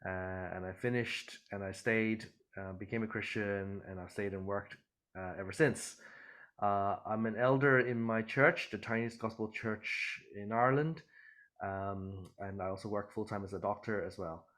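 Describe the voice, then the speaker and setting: A man's voice: on the low side, steady tone, moderate pace, a bit bright. A middle-aged man from Hong Kong, a church elder, answering interview questions conversationally.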